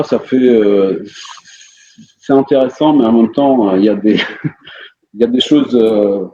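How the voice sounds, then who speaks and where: Ukrainian man, native Ukrainian speaker, French interview, low-pitched, halting with frequent fillers, slightly muffled recording. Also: warm tone, a little hiss or hum. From western Ukraine. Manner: hesitant, many fillers and restarts. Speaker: interview guest, musician. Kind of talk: casual interview answers.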